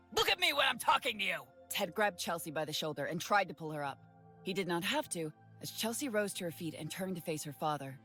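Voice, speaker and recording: Female horror narrator, lower voice, steady and even, soft music bed.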